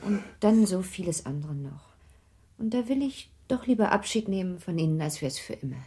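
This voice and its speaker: An actress playing a melancholy, gentle young married lady of the nineteenth century in a literary radio drama, speaking in a wistful, genteel way. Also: fairly low pitch, lively intonation, normal speed, husky. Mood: wistful farewell. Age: young adult. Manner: wistful, genteel, softly emphatic.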